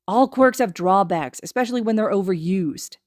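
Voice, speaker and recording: A woman voicing a gruff, bossy, hot-tempered young boy with a barking, scornful delivery in an audiobook. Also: medium pitch, lively intonation, normal speed, no room sound, studio quality, natural voice. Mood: irritated. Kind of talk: fan fiction audiobook acting.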